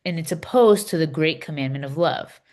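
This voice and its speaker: Woman acting as earnest Palestinian woman, low-pitched. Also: normal speed, darkish tone, close to an everyday voice. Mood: indignant, grave. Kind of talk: readers theater scripted dialogue.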